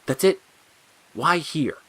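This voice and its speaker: A man's voice: on the high side, animated, slow pace, sharp tone, slightly rough, voice pushed up, heavily acted. A man voicing a bitter, bored talking flower in an audio story, sarcastic and snappish. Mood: scornful. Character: sneering bitter talking flower, spiteful bored male monster. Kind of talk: audio fiction character acting.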